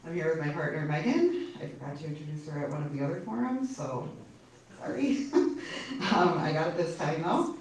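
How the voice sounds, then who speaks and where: A woman's voice: low voice, expressive, a little fast, darkish tone. A woman in her forties introducing herself as a city council candidate at a forum, friendly and a little self-conscious. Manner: friendly, slightly self-conscious, with small laughs. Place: public forum room. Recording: echoey hall, distant mic, faint noise.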